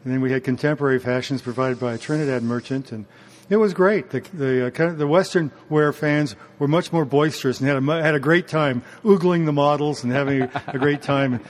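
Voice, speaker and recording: Measured man, slightly higher pitch, interview chat with fillers. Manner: measured, clears throat, hesitant fillers. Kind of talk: casual interview conversation.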